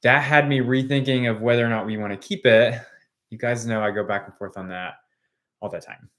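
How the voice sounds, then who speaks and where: A man in his late thirties co-hosting a casual live chat, answering viewer questions in measured, plain sentences. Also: mid-range voice, fairly even intonation, a bit bright. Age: 30s. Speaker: co-host of a live chat stream. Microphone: phone front camera.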